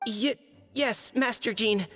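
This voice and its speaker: A man's voice: extremely high pitch, slow, bright, forceful tone, heavily acted. A man playing a shy, earnest, boyish young surveyor in a video game, stammering and formal, in a reverberant hall. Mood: nervous. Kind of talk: video game character acting. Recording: reverberant hall, faint music.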